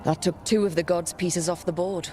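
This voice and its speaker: A woman's voice: lowish voice, steady tone, moderate pace, noisy background, lightly acted. A woman playing a determined fantasy team leader in a video game, matter-of-fact and decisive. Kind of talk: video game cutscene acting.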